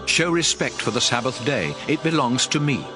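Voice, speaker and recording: A man's voice: medium pitch, big pitch swings, moderate pace, music in the background, lightly acted. An actor playing a solemn, commanding male prophet who addresses his people with emphatic oratory in a dramatized audio Bible.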